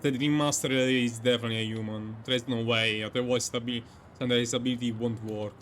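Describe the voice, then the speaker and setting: A man's voice: medium pitch, level tone, fast, fairly bright tone, a little hiss or hum. A man giving casual commentary on a game, reacting and thinking aloud in a hesitant way. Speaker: gaming streamer.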